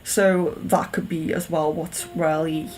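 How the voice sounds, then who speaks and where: A woman's voice: low-pitched, little pitch movement, takes it slowly, a little mellow, a little hiss or hum, poor audio. A woman giving a live-stream tarot reading, thinking aloud in a hesitant, searching way and sounding a little sniffly. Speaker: tarot reader.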